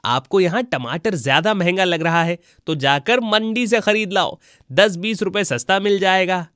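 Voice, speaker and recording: A man's voice: sits high, normal speed, a bit bright, clean tone, no echo, clean studio sound. A man playing a defensive vegetable seller in a children's story, speaking in an argumentative way. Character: defensive, blunt male market vegetable seller.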